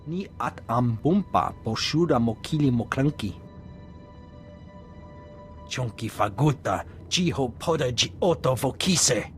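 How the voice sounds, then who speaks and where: A man's voice: medium pitch, lively intonation, measured pace, soft background music, lightly acted. A man voicing an alien character in a video game, speaking in an invented alien tongue. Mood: matter-of-fact.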